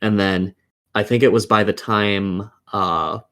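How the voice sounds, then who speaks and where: Guy with a lowish voice on a gaming podcast, explains his takes, casual swearing. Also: steady tone, unhurried, a bit bright, clean tone. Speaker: podcast co-host.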